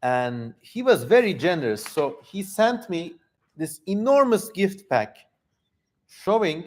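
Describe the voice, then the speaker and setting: Male livestream host, somewhat high voice, casual and effusive, chatting straight to viewers. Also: big pitch swings, takes it slowly. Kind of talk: casual livestream chat, reading out viewer comments.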